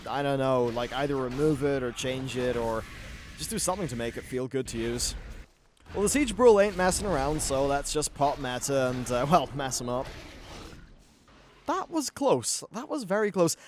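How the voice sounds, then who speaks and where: A young man giving a chatty, informal, mildly sweary commentary as he plays through a video game. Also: medium pitch. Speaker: gaming YouTuber. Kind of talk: casual game playthrough commentary. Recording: clear background noise, game ambience.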